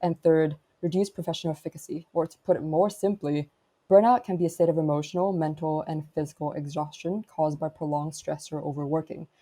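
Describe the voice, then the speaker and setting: A woman's voice: low-pitched, fairly even intonation, a little fast, dark tone, slightly rough. A woman hosting a podcast, reading prepared questions and definitions. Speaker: podcast host. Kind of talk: scripted interview questions.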